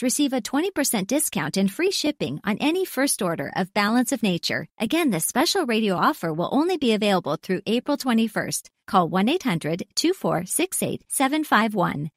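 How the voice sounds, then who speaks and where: A woman reads a radio commercial offer in a clear, deliberate way. Her voice is mid-pitched but very animated. The sound is dry and close. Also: dry recording. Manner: clear, deliberate sales read. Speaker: commercial announcer. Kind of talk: scripted radio ad read.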